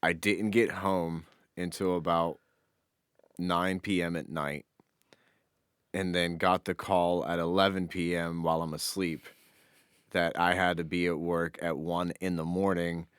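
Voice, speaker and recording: A deep-voiced man chats as a podcast co-host. His delivery is informal and anecdotal, like everyday conversation. The recording is close and dry, free of room sound. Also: flat delivery, measured pace, a bit bright, clear, resonant tone, no echo. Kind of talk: casual chat, anecdotal storytelling.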